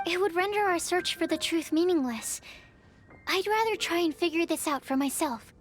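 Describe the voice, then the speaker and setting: A woman's voice: extremely high pitch, moderate pace. A woman playing a shy, studious young female alchemist in a video game, speaking in a hesitant, careful, analytical way. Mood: earnest, pensive. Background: music.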